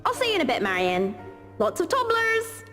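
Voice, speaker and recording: A woman's voice: very high voice, huge pitch swings, normal speed, a bit bright, full character voice. A woman voicing a brash, protective teenage schoolgirl who talks tough, cocky and teasing. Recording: reverberant game audio with music.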